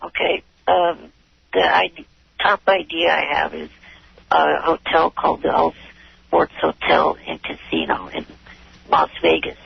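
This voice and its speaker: A male phone-in caller with a heavily gravelly, somewhat high voice. He speaks haltingly and earnestly over a muffled, lo-fi phone line. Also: animated, dry recording. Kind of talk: hesitant phone-in pitch.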